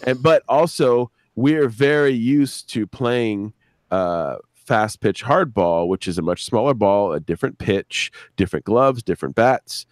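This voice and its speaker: A man chatting casually as a guest show creator on a live stream, rambling and halting, repeating words and swearing freely. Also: fairly low pitch, lively intonation, dry recording.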